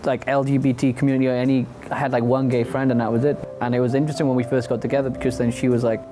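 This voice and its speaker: A thirty-year-old man speaks as a guest on a chat show, hesitant and full of fillers and restarts. His voice sits at a middle pitch. Music plays behind him. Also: level tone, very fast. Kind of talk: casual talk show chat.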